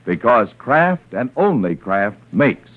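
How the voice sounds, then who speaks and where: A man's voice: mid-range voice, sing-song, slow, background noise. A man announcing in 1940s radio style, with an emphatic, salesmanlike delivery. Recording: tinny old radio recording, music behind.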